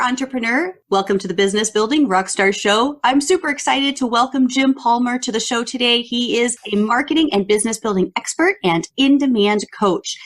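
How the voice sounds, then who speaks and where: Chatty middle-aged woman podcast host. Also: on the high side. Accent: mid-Atlantic American with some Ontario Canadian. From United States, near Baltimore. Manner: upbeat, chatty, laughs easily. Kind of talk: casual interview chat.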